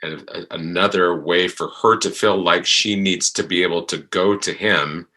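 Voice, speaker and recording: A man's voice: low voice, fairly even intonation, moderate pace, sharp tone. A man in casual reflective discussion, speaking tentatively and searching for his words. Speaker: actor discussing his podcast drama.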